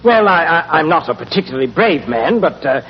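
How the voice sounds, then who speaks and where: High-pitched, fussy British gentleman banker. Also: sing-song, a little fast. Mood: anxious, polite. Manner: hesitant, fussy, polite, stammering. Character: nervous, genteel male London banker. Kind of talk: 1940s radio acting. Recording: muffled, hissy, reverberant 1940s radio recording.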